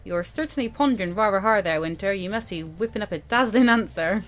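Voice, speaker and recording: A woman's voice: medium pitch, lively intonation, a little fast, natural voice. A woman voicing an experienced male mentor in a visual novel, dry, sardonic and lecturing. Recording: music behind the voice.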